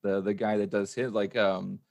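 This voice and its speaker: A man's voice: lowish voice, little pitch movement, normal speed, creaky, a little mellow, no echo. An adult man talking casually on a podcast, telling stories in an informal, chatty way. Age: adult with a young child. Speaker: podcast guest and voice actor. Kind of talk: casual chat.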